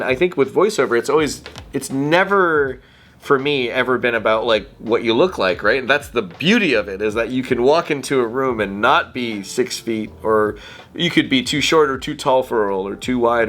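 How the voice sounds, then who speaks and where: Chatty man with Filipino Canadian accent. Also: medium pitch. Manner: animated, chatty, quick laughs, many fillers. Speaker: voice actor guest. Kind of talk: casual interview answers.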